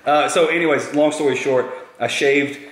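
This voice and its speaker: A man's voice: fairly high pitch, steady tone, moderate pace, slight background noise. A man in his thirties from South Carolina, telling crude stories with a self-mocking laugh as an interview guest. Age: 30s. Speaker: interview guest, YouTube creator. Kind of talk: casual storytelling chat. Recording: echoey room.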